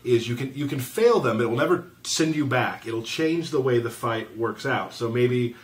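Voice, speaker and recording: A man's voice: mid-range voice, expressive, moderate pace. A man hosting a casual, live interview, talking in an informal way. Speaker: interview host.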